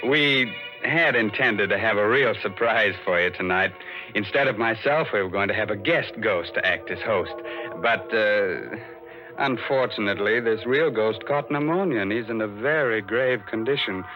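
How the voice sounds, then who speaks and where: A man's voice: lowish voice, moderate pace, muffled recording, over music, natural voice. A man playing a genial, drily macabre horror host with arch, hesitant mock courtesy on 1940s radio. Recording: old 1940s radio broadcast recording.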